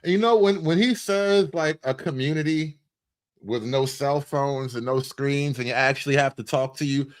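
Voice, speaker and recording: A man hosting a livestream with casual satirical commentary, speaking in an animated, profane, mock-enthusiastic way and repeating phrases for emphasis. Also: mid-range voice, moderate pace.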